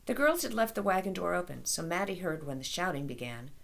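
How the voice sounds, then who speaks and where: A woman author reading a children's story aloud in an expressive storytelling style. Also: lowish voice, brisk, gravelly.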